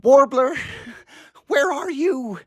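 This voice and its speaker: A middle-aged man playing an anxious, doting father in an audio play, speaking in a fretful, tender, halting way. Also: very high voice, expressive, a bit bright, no room sound, heavily acted. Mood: anxious, self-soothing. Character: anxious, doting father fretting over his grown daughter.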